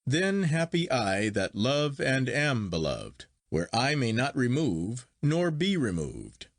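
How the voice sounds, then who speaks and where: Guy narrating an old literary story, formal and measured, pitch moves around a lot, very dry recording. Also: wildly swooping pitch, dry recording. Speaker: audiobook narrator.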